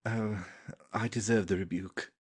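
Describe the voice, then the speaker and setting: A man playing a shy, courteous young lord who speaks formally and haltingly in an audio stage play. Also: fairly low pitch, fairly even intonation, husky. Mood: apologetic, unhappy.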